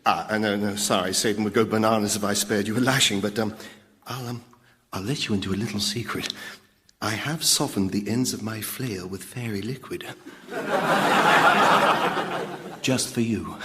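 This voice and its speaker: A man's voice: fairly low pitch, measured pace, long pauses, pushed and bright, slight background noise. An actor playing a needy, lovesick male demon, hesitant and stammering, in a radio sitcom. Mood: sheepish. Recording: long hall-like reverb.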